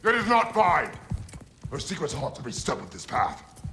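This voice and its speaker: Stern, gravelly warrior father. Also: on the high side, big pitch swings, moderate pace, pushed and bright, a little hiss or hum, exaggerated voice. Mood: furious. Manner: terse, commanding. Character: stern, brooding warrior father, demanding and curt. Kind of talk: video game acting.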